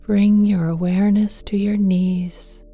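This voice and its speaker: Female meditation guide: slow, velvety and very mellow, gentle hushed delivery drifting toward breathy near-whisper, tender toward the listener, faint music underneath. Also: medium pitch, flat delivery, dry recording. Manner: tender, affectionate address to listener. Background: soft meditation music with singing bowls.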